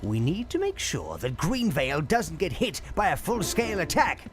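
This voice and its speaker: An actor playing a sly old minion advisor to a dark lord in a video game, servile, scheming and theatrical. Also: male voice, fairly high pitch, wildly swooping pitch, normal speed. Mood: cunning, gleeful. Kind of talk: scripted video game character acting. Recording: music behind the voice.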